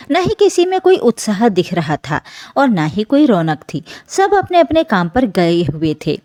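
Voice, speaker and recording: A woman narrating an emotional family story from a script in an expressive, dramatic storytelling manner. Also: a little high, dry recording.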